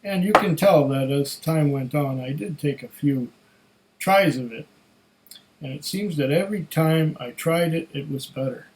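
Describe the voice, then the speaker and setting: Slightly hoarse, higher-pitched man musing unhurriedly. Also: a little hiss or hum, rough recording. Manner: musing, unhurried, savoring, talks to himself. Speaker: whisky reviewer. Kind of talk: casual solo tasting review.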